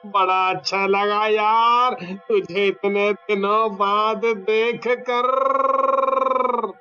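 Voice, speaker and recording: A man voicing an excitable young village friend in a cartoon story, drawing his words out. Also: sits high, drawn-out words, clean tone, highs cut off, faint music behind, voice pushed up, full character voice. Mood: delighted.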